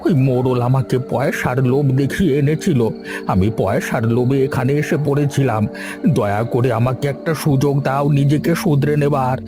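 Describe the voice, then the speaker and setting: A man's voice: medium pitch, moderate pace, over music, natural voice. A man playing a cowardly, greedy village tantric in a horror cartoon, begging submissively. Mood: frightened pleading. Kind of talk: Bengali horror cartoon voice acting.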